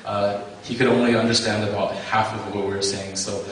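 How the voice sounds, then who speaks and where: A young man giving a testimony to an audience, speaking haltingly with many fillers. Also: on the low side, little pitch movement, fairly bright tone, a little hiss or hum. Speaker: conference attendee giving testimony. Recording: very echoey hall, distant mic.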